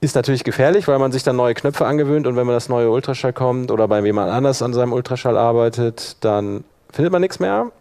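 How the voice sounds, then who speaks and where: Male workshop instructor, mid-pitched, informal and hands-on, thinks aloud while demonstrating software. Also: fast, few pauses, clean tone.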